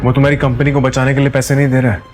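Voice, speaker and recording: A man's voice: medium pitch, little pitch movement, very fast, close to an everyday voice. A man acting a humble, uneducated poor husband in a Hindi audio drama, earnest and hesitant in a plain way. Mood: earnest warning. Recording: music behind the voice.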